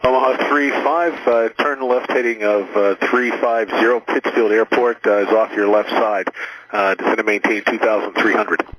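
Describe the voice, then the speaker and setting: Low-voiced male air traffic controller on radio. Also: fairly even intonation, a bit bright, poor audio. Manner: halting, procedural phrasing with frequent hesitations. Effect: aviation radio.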